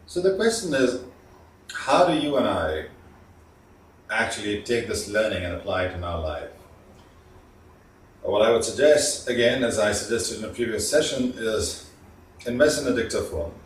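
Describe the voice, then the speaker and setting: A man giving an instructional talk as a communication trainer, explaining with emphatic stressed words and pauses. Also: lowish voice, animated. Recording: echoey room, distant mic, faint noise.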